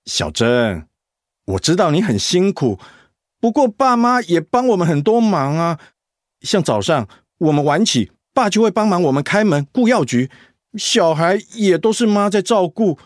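A man's voice: high-pitched, sing-song, moderate pace, no echo, clean studio sound. A man acting in a radio drama as a remorseful, conflicted grown son, earnest and pleading in manner. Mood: placating.

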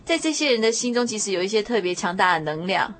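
A woman with a Taiwanese accent hosting a conversational radio show in an earnest, expressive manner. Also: medium pitch, quick pace, a crowd in the background.

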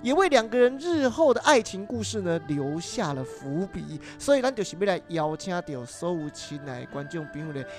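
A woman's voice: on the low side, sing-song, moderate pace, few pauses, over music. Taiwanese woman hosting a podcast. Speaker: podcast host. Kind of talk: podcast introduction, chatty.

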